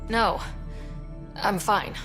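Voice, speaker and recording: An actress playing a determined young space explorer in a science fiction game, dry and challenging. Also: female voice, mid-range voice, extremely slow, fairly bright tone. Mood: defiant. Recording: reverberant space, music behind.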